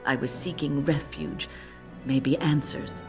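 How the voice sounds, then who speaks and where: An actress playing a wise older female mage in a fantasy game, speaking as a gentle but firm, reflective mentor in measured phrases. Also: low voice, steady tone, music in the background. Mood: wistful, reflective. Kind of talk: dramatic game dialogue.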